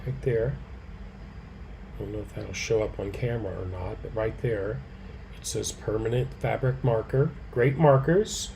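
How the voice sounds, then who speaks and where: A man teaching a live quilting and fabric-painting demonstration, slightly hoarse, chatting with viewers by name and muttering softly to himself as he works. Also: fairly low pitch, unhurried, a little hiss or hum, low-quality recording. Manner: relaxed, talks softly to himself while he works; answers viewers' comments by name. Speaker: quilting educator.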